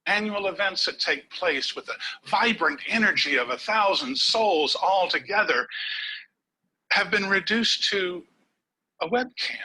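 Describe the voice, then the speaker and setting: A man giving a prepared contest speech over a webcam, with dramatic pauses and words dropped to a whisper for emphasis. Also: sits high, sharp tone, slightly rough, poor audio. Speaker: contest speaker. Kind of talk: prepared speech delivered online.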